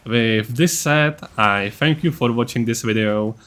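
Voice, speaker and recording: A man's voice: mid-range voice, a little slow, fairly bright tone, clean tone. Man narrating a gaming build guide. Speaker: gaming video creator.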